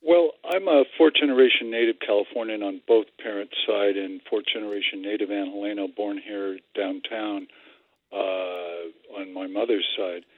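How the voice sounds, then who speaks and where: An older man calling into a radio show, telling a story in a measured way. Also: fairly low pitch, fairly even intonation, dry recording. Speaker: caller. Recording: phone line.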